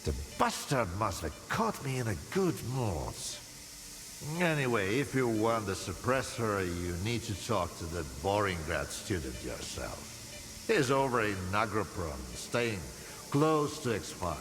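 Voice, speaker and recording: An actor playing a boozy, sardonic older scientist in a video game, theatrical and philosophising, with a husky, gravelly voice. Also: male voice, on the low side, sing-song, a little slow, fairly bright tone, long reverb tail, slight background noise. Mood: sardonic, rambling. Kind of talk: video game cutscene acting.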